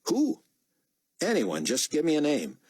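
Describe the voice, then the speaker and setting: A man voicing a brisk man who gives curt commands while quietly helping a prisoner. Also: huge pitch swings, slightly rough, no echo, studio quality, exaggerated voice. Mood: urgent. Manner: curt, commanding, low-key. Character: brisk male journalist posing as an officer, secretly kind.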